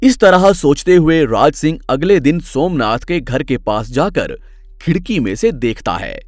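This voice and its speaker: A man's voice: a little high, very animated, measured pace, no room sound, clean studio sound. A man narrating scripted moral tales as a storyteller.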